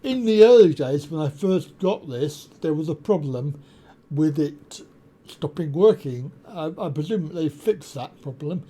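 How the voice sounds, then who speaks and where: Slow, mellow-voiced man explaining software. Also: a little high, lively intonation. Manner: unhurried, explanatory, many ums and uhs. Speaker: software tutorial presenter. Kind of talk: screen-recorded software walkthrough. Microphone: desk USB microphone.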